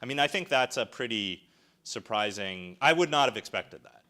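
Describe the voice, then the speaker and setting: Male panelist with a bright, mid-pitched voice; thinks aloud, restarts often. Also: animated, normal speed. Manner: hesitant, thinks aloud with many fillers and restarts. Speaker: panel presenter, researcher. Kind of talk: answering audience questions at a panel.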